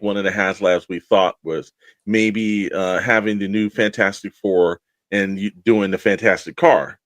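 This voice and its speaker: A man with a slightly deep voice and a dry, close sound. He co-hosts a casual collector podcast and explains his points conversationally in long, run-on thoughts. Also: no echo. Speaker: podcast co-host. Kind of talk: casual toy-collector chat.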